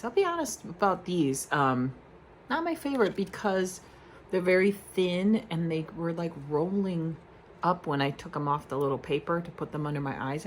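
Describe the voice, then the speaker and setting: A woman's voice: low-pitched, slight background noise. A woman vlogging casually at home, rambling through questions with lots of fillers and self-deprecating asides. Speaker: beauty vlogger. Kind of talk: casual chat to camera.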